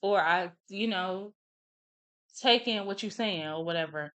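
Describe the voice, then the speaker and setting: Bright-voiced woman, stuffy with a cold. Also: medium pitch, measured pace. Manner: chatty, relaxed, many "um"s and "whatever, whatever". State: congested from a cold. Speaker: podcast host. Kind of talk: casual solo podcast talk.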